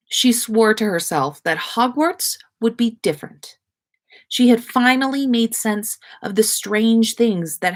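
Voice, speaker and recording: Woman reading a story aloud. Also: medium pitch. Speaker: podcast host reading listener fan fiction. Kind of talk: scripted story narration, read aloud.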